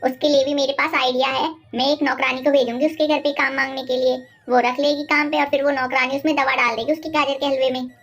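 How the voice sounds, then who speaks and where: Woman playing a high-pitched scheming mother-in-law. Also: level tone, fast talker, few pauses, fairly bright tone. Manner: bossy, scolding, name-calling. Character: scheming, scolding mother-in-law villain, middle-aged. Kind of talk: animated moral-story dialogue.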